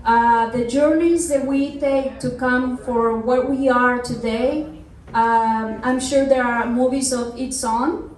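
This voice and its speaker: A woman from Mexico, a film producer, giving a thankful speech at an event, measured and pausing often with fillers. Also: on the high side, steady tone. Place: large hall at a festival. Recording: echoey hall, mic far off.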